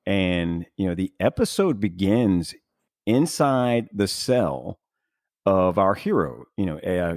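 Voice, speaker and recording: Man, low-pitched voice, dry close sound, effusive, rambling, casual podcast host. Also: no room sound.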